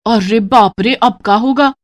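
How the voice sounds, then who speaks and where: A woman's voice: on the high side, a little slow, natural voice. A woman voicing a brash, cheeky young village daughter-in-law in a folksy, exclamatory way with a rustic Banaras accent, for a story. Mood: dismayed.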